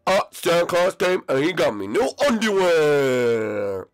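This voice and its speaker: Roy, as a man puts him on, a loud dopey koopa. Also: on the high side, sing-song, unhurried, voice pushed up.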